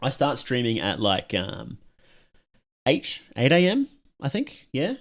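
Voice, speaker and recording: A man's voice: medium pitch, huge pitch swings, slow pace, vocal fry. A man giving casual live-stream commentary as he reacts to videos at home, rambling and self-mocking and laughing at his own jokes.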